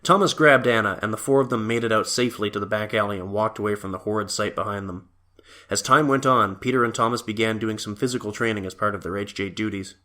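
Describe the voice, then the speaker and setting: A man's voice: lowish voice, fast, pushed and bright. A man reading scripted story narration as the narrator of an audio drama.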